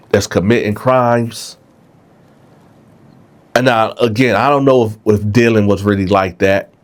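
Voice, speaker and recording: Low-voiced man with a stuffy nose. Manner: conversational, keeps asking "right?". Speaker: vlog host. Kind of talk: casual storytelling to viewers.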